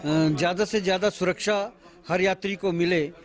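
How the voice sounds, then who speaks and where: A man in his fifties, a party official, giving a halting press statement to reporters with many pauses and restarts, speaking into a bundle of handheld mics outdoors at a bus station. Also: sits high, fairly even intonation. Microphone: bundle of handheld news mics.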